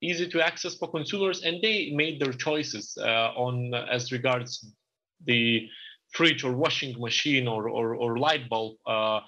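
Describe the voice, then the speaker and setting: A man's voice: mid-range voice, steady tone, pushed and bright. A man in his thirties answering panel questions from an office over a video call, full of fillers and restarts. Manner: halting, many restarts and fillers. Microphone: video call webcam. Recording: dull lo-fi video call audio.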